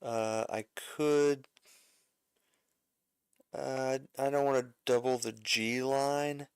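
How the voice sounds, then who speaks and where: A man's voice: medium pitch, fairly even intonation, extremely slow, clean tone, no echo, studio quality. A man giving a casual music arranging lesson, thinking aloud as he works, with frequent fillers and self-corrections.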